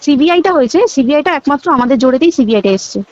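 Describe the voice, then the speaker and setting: A woman's voice: high-pitched, animated, racing, a little mellow. A young woman with a West Bengal accent talking casually and emphatically on a recorded phone call, repeating words and checking that her listener follows.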